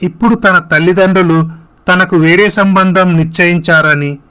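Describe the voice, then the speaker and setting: Guy playing a sad young man in a moral story, highish voice, earnest and hurt. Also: steady tone, moderate pace, sharp tone, smooth. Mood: heartbroken. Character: heartbroken young man confiding in his parents. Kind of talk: dramatized moral story dialogue.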